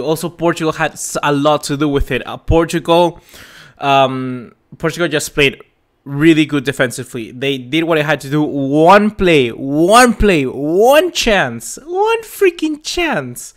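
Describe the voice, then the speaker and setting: This is a young man from Peru running a live football reaction stream. His voice sits a little on the high side. He talks with heated passion and plenty of exasperation, rambling and circling back over his own words. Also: fairly bright tone. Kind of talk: live match reaction chat.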